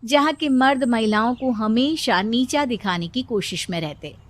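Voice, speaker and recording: A woman reads a children's cartoon story aloud, calm and steady, in a fairly high voice. Her narration is plain and scripted, with a clear storytelling pace. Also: a little slow.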